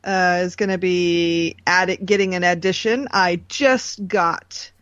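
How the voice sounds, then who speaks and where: A woman's voice: fairly low pitch, fairly even intonation, takes it slowly, fairly bright tone, clean tone, dry recording. A woman co-hosting a podcast in casual chat, recorded on a dull lo-fi track. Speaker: podcast co-host.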